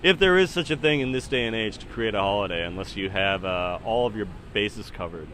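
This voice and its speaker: A man's voice: fairly low pitch, brisk, sharp tone, slight background noise. A man in his thirties giving casual, rambling spoken commentary in a hesitant, musing way that often trails off. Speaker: solo podcast host.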